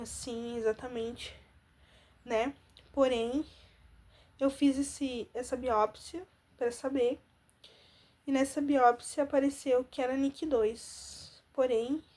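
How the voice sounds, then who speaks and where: Nasal-sounding woman in her thirties, fairly high voice. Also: darkish tone. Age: 30s. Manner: earnest, with frequent "né?" and restarts. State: nasal from congestion. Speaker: vlogger. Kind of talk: personal testimony vlog.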